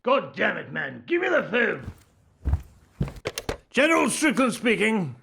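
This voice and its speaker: An actor playing a curt, commanding army general in a radio play, giving clipped orders. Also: male voice, high voice, wildly swooping pitch, a little slow, gravelly, bright, forceful tone, slight background noise, heavily acted. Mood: barking orders.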